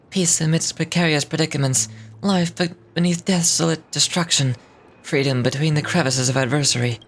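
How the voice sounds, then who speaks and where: Woman voicing a low-pitched, florid, poetic dreamweaver man. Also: a little hiss or hum. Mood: rapturous. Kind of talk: reading game dialogue aloud.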